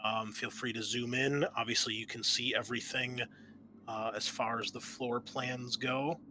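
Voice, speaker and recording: Bright-voiced man running a tabletop game. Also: medium pitch, level tone, normal speed, a little hiss or hum. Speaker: game master. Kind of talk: explaining a tabletop roleplaying game over online play.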